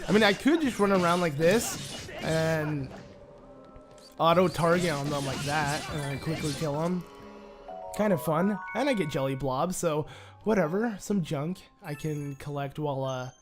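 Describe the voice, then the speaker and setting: A man with a raspy throat from being sick, chatting casually as he plays through a game, rambling with lots of filler words. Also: fairly high pitch. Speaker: let's play gamer. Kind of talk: casual gameplay commentary.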